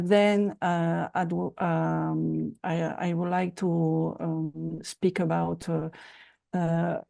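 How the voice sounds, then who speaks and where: A woman's voice: on the low side, vocal fry, dark tone. A woman giving an academic presentation as guest lecturer, speaking hesitantly with many filler sounds. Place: conference session.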